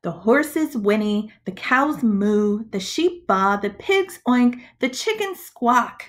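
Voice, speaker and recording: Slow, lively, higher-pitched woman reading to children. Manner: deliberate, expressive, addressing young children. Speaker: schoolteacher reading to pupils. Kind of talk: storybook read-aloud for children. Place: indoors, webcam screen recording.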